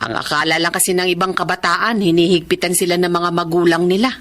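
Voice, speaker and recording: A woman's voice: fairly low pitch, level tone, fast talker, fairly bright tone, slight background noise, lightly acted. An actress playing a caring middle-aged mother in a radio drama. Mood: relieved. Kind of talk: Tagalog radio drama acting.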